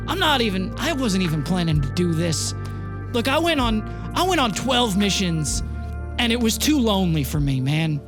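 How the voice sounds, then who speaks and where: A game master voicing a weary, conflicted man who runs a town, speaking in a halting, confiding way. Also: medium pitch, big pitch swings, natural voice. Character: Summer, weary, conflicted young man running a frontier town. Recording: music bed under the voice.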